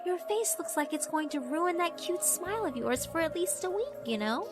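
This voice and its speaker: Haughty, cruel yokai villainess, theatrically taunting. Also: female voice, very high voice, lively intonation, normal speed, loud music behind the voice, pitched above the performer's own voice. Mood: mocking. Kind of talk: horror visual novel voice acting.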